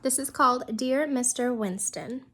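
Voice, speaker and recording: A woman's voice: high-pitched, unhurried, fairly bright tone. A woman introducing a story that she is about to read aloud as a teacher.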